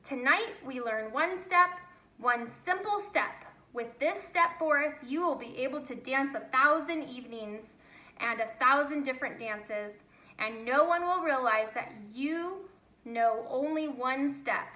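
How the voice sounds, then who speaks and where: A confident, kindly dancing he-bear from a children's storybook, played by a woman. He encourages and instructs in a reassuring way, with a high, bright voice. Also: lively intonation, a little slow, heavily acted. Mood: instructive, pleased. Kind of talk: children's storybook character reading. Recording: roomy, echoey home recording.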